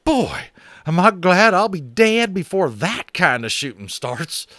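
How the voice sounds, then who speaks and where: A man voicing a jittery, hard-drinking tiny cowboy with a high, strained, twangy voice and frontier slang. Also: big pitch swings, a little slow, pushed and bright, no echo, clean studio sound, exaggerated voice. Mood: frightened. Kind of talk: audiobook character voice.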